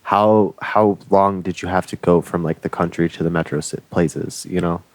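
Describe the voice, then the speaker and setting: A man hosting a casual interview, asking relaxed, curious questions. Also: sits low, normal speed, dry recording. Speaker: podcast host.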